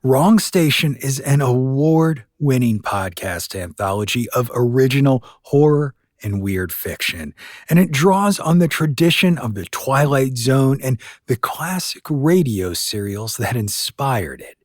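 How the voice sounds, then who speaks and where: A man's voice: medium pitch, lively intonation, unhurried, no echo, clean studio sound. A man hosting a podcast, giving a scripted introduction in a presenterly, folksy way. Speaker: podcast host.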